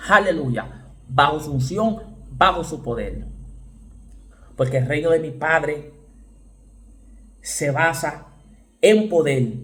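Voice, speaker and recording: A man with a Dominican accent preaches with rising fervor, his voice sitting a little high for a man. He hammers points home by repeating words and throws in sudden shouted bursts. His delivery is emphatic and direct. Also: roomy sound. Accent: Dominican Spanish. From Dominican Republic. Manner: repeats words and phrases for stress. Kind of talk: sermon.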